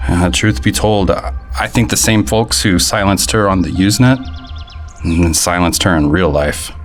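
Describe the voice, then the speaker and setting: A man's voice: low-pitched, moderate pace, soft background music, natural voice. A man playing a folksy, secretive conspiracy-minded informant in an audio drama, with colloquial, hesitant talk. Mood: knowing, grave.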